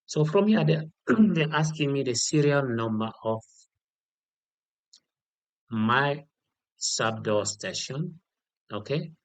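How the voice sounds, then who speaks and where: A man giving an unscripted step-by-step software tutorial, repeating key details and often checking in with a short okay. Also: medium pitch, expressive, takes it slowly, long pauses, no echo. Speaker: tutorial instructor.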